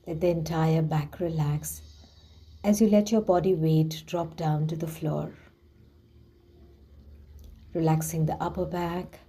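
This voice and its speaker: A woman leading a guided relaxation visualization in a soothing, hushed manner with gently drawn-out phrasing. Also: sits low, steady tone, breathy edge. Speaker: guided meditation host. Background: background music.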